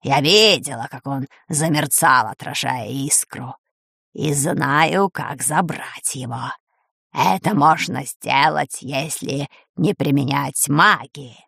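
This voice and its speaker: A woman voicing a menacing old plague sorceress from a fantasy storybook, slow and commanding, with a creaky voice. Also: sits low, a bit bright, no room sound, studio quality, voice pushed down, full character voice. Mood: menacing, gloating. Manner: slow, drawn-out, menacing delivery.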